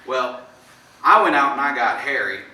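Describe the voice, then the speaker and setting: A man acting a plain-spoken farmer in an amateur stage play, telling his story in a folksy, halting way and dramatizing the quotes. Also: mid-range voice, animated, bright, forceful tone. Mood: shaken, animated. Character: plain-spoken neighboring farmer recounting a shocking visit. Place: theatre stage, indoors. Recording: echoey stage from far off, faint noise.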